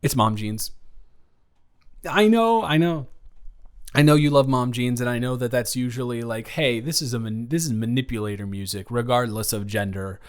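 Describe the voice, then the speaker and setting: A man's voice: mid-range voice, lightly acted. A young American man playing a chatty, awkward best friend in an improvised audio roleplay, talking casually and often interrupting himself. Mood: upbeat, chatty. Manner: rambling, halting, self-interrupting. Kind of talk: improvised boyfriend-style audio roleplay, casual one-sided chat.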